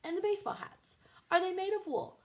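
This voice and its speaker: A woman's voice: very high-pitched, very animated, fairly quick, vocal fry, slight background noise, voice pushed up, heavily acted. A woman voicing a polite, anxious, fussing mother in a children's storybook read-aloud. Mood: polite worry. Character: Bitsy, polite, fussing, worried mother of a young ballplayer.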